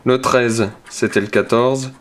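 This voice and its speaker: A man hosts a scratch-card video, calmly checking numbers one by one and muttering softly as he scratches. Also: medium pitch, slow. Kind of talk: casual play-by-play while scratching tickets.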